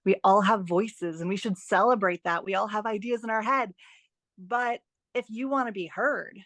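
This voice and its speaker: A woman speaks as an enthusiastic guest teacher in a conversational interview, in a very dry recording. Her pitch sits in the middle range, and she stresses her encouraging advice with emphatic repetition. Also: normal speed, fairly bright tone, no echo. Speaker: guest author and writing teacher.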